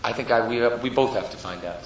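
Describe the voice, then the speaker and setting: A man's voice: lowish voice, a little fast, a little hiss or hum, natural voice. A man voicing a grave, knowing rabbinic master in a religious story, sighing and subdued. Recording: very echoey room, distant mic, dull.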